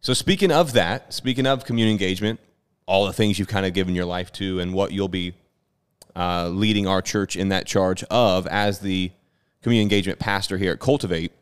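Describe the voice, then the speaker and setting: Smooth low male podcast host. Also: brisk. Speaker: podcast host, church leader. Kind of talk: conversational interviewing.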